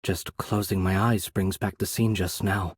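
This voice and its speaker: A man playing a courteous, composed young noble mage in a video game, soft-spoken and slightly breathy, with polite, measured, formal phrasing. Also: low-pitched, flat delivery, dry recording, clean studio sound. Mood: gentle, subdued. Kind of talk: video game character voice acting.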